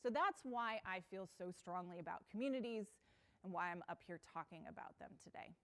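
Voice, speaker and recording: A woman's voice: on the low side, lively intonation, fairly quick, a bit bright. A woman from the United States giving an earnest, personal conference talk on stage, with some fillers and restarts. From United States (Pacific Northwest). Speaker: conference speaker.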